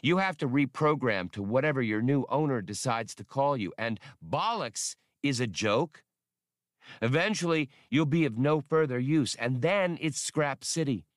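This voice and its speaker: A male narrator doing a cocky, quick-tempered smuggler captain who scoffs and raises his voice. His voice jumps well above the reader's own low tone and comes out loud and pushy, with a dry, close audiobook sound. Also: expressive, dry recording, natural voice. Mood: scornful. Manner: scornful, loud and quick to anger. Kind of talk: audiobook character reading.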